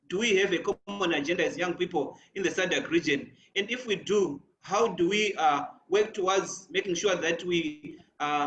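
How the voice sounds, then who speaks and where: Male session moderator, high-pitched and hesitant, recorded in an echoey room with lo-fi sound. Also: fairly bright tone. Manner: hesitant, with many fillers. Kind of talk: moderating a panel.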